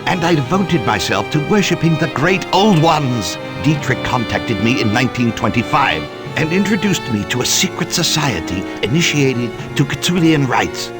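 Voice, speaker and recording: An actor playing a smug, traitorous cultist in a video game, mocking and declamatory. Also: male voice, mid-range voice, animated, normal speed, few pauses, music in the background, lightly acted. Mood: gloating confession. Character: gloating traitorous male cultist, smug villain. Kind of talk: video game villain acting.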